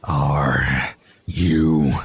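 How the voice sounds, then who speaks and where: An actor playing a vengeful, sardonic husband back from the dead in a horror audio drama, speaking with slow menace. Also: male voice, extremely low pitch, steady tone, slightly rough, exaggerated voice. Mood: sinister menace. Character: murdered husband reanimated as vengeful, sardonic dismembered corpse.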